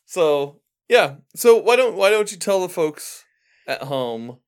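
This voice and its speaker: A man's voice: high-pitched, big pitch swings, slow, clear, resonant tone. A man hosting a casual chat, rambling loosely and joking at his own expense. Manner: loose, rambling, self-deprecating jokes. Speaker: podcast host.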